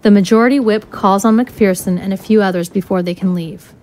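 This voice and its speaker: A woman's voice: mid-range voice, brisk, a little mellow. A woman narrating scene directions from a screenplay in scripted style.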